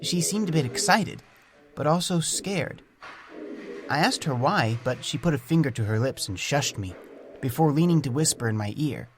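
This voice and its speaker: A man voicing a gentle, naive, curious young pet creature, narrating an audio storybook in simple, thoughtful phrases with soft wonder. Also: on the high side, expressive, normal speed, lightly acted. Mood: quiet curiosity. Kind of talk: first-person storybook audio drama narration. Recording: music under the voice. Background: music.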